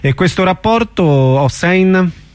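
A man's voice: sits high, animated, slow. A man hosting an informal radio interview, repeating words as he feels his way through sentences.

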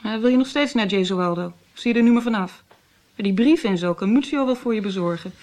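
A woman playing a worldly, sharp-tongued schemer in a radio drama, speaking in a wry, challenging way. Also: mid-range voice, fairly quick, natural voice.

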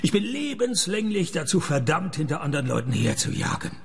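An actor playing a world-weary, middle-aged detective in a radio play, dry and deadpan, with a gravelly voice. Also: male voice, a little high, brisk, exaggerated voice. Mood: sardonic. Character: world-weary, sardonic middle-aged male private detective narrating his case. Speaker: lead actor and narrator. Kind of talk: noir radio play narration and dialogue.

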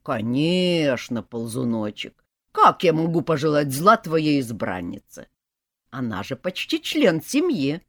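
A woman voicing a scheming, fake-sweet old grandmother who is part snake, cooing and then shrill. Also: sing-song, a little slow, dry recording, clean studio sound, exaggerated voice. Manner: cooing, syrupy, turns to shrill wailing.

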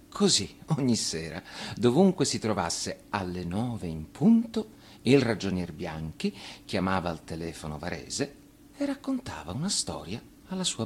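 Uomo dalla voce animata che legge una fiaba. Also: male voice, on the low side, a little hiss or hum. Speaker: storyteller. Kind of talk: expressive scripted fairy-tale reading.

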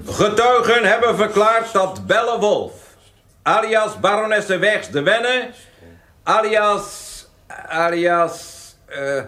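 High-voiced, slow, stern judge. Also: male voice, a bit bright, exaggerated voice. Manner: formal, commanding, deliberate. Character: stern, officious male judge reading charges. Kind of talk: radio drama acting.

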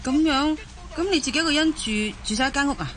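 A woman's voice: high-pitched, fast, fairly bright tone, soft background music. A woman acting a discontented, outspoken young wife and mother from the mainland in a Cantonese radio drama. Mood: doubting, plaintive. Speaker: voice actor in an audio drama.

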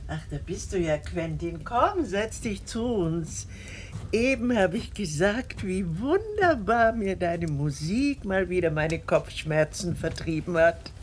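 Dithery elderly aunt for radio play: effusive, very lively melody, slow delivery. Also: female voice, on the low side, slight background noise. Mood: chatty, fussy. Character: gushing, fussy, sentimental old aunt. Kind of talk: German radio drama acting.

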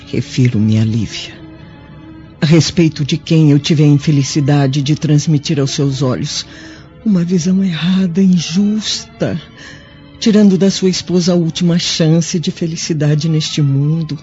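Slow-spoken dying Roman noblewoman. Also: deep voice, warm tone. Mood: remorseful. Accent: Brazilian. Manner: slow, formal, sorrowful, theatrical. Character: dying noble Roman widow, remorseful, solemn and tender. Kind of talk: radio drama acting. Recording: dull lo-fi audio with music behind.

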